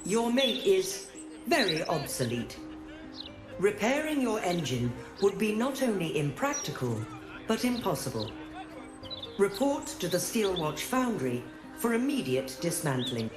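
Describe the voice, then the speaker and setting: A woman's voice: medium pitch, sing-song, measured pace. A woman voicing a cold, official robotic war machine in a video game, making formal authoritarian pronouncements. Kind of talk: scripted video game acting. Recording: echoing voice under background music.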